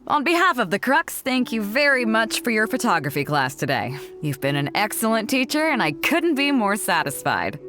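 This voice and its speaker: A woman's voice: a little high, sing-song, moderate pace, few pauses, bright, forceful tone. A woman voicing a bold, proud female ship captain in a video game, confident and teasing, with a theatrical delivery. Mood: mock formal. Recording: music under the voice. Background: background music.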